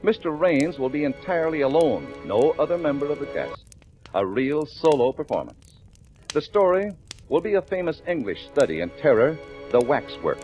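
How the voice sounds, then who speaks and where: A man's voice: on the high side, sing-song, normal speed, lo-fi sound. A man with an American accent announcing in polished, emphatic 1940s radio style, selling for the sponsor. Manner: polished, emphatic sales delivery. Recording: vintage 1940s radio broadcast with music.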